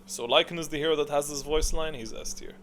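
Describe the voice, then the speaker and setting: A man's voice: fairly high pitch, quick pace, fairly bright tone, a little hiss or hum. A man giving casual, opinionated gaming commentary, rambling and thinking aloud in a blunt way. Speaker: gaming video creator.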